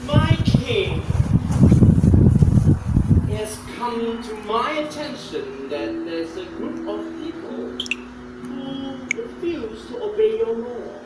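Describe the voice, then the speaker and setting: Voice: mid-range voice, sing-song, slow pace. An actor playing a vain, scheming royal official in a stage play, theatrical, menacing and gloating. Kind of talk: live stage play acting. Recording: echoey stage from far off, loud music. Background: loud music.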